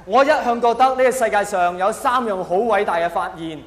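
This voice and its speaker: A man's voice: high-pitched, brisk. A middle-aged man with a Hong Kong accent performing a theatrical, mock-earnest stand-up comedy monologue. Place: large hall, live stage performance. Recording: echoey hall reverb, faint background noise.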